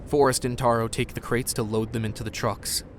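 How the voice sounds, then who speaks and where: A man's voice: mid-range voice, little pitch movement, a little fast, a little hiss or hum. A man reading scripted narration as the narrator of an audio drama.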